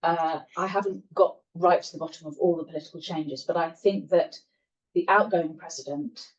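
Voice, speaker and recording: A woman with a lowish voice answers a panel question at a lecture. She talks thoughtfully and hesitantly, often correcting herself. She is picked up from a distance, and the sound is muffled and lo-fi. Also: steady tone, normal speed. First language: not Spanish. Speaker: panel speaker. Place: lecture room.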